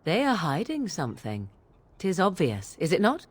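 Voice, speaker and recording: Sardonic witch, lowish female voice with sweeping intonation, archaic and theatrical, game drama. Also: wildly swooping pitch, normal speed, slightly rough, heavily acted. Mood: suspicious. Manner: archaic, mocking, theatrical diction. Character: haughty, sardonic witch of the wilds. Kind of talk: dramatic video game acting.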